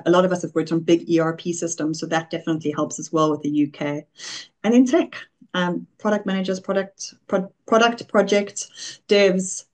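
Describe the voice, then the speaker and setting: A middle-aged South African woman answering interview questions, briskly listing examples. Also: lowish voice, a little mellow. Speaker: guest, offshoring business founder. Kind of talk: conversational interview.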